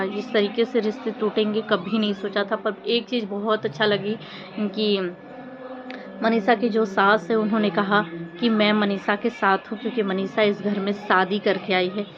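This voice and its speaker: A woman's voice: mid-range voice, little pitch movement, moderate pace, a crowd in the background. A woman giving informal opinion commentary to her viewers, speaking in an earnest, reproachful, emphatic manner with rhetorical questions. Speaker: vlogger commenting on social media controversy. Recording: muffled lo-fi audio with faint noise.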